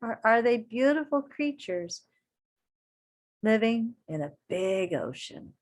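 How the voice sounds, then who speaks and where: A woman with a fairly high voice reads a picture book aloud at a very unhurried pace. She speaks expressively to young listeners, placing deliberate emphasis on phrases. Her manner is that of an encouraging elementary teacher who adds small asides as she goes. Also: big pitch swings. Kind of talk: children's story read-aloud with asides.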